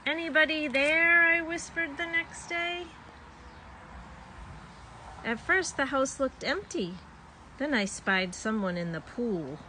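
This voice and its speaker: A woman reads a children's storybook aloud in a mid-pitched voice. She tells it expressively, drawing out words for young listeners. Some background noise sits behind her. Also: bright, forceful tone, rough recording.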